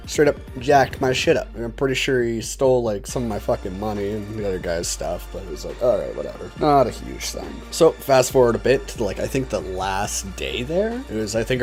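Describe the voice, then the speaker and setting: A man's voice: lowish voice, darkish tone. A young man telling personal stories in a casual, rambling, laid-back way, swearing freely. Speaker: storyteller on his own video channel. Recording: voice over background music. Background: music.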